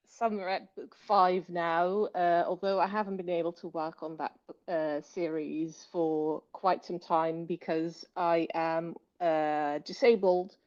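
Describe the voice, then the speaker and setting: A Dutch woman in her thirties with a learned British accent, speaking as a guest author in a low-energy, measured way with many small hesitations. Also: a little mellow, muffled recording. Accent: British English learned as a second language. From Netherlands. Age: 30s. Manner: measured, frequent um and uh, light laughs. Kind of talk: casual interview chat. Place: hospital bed.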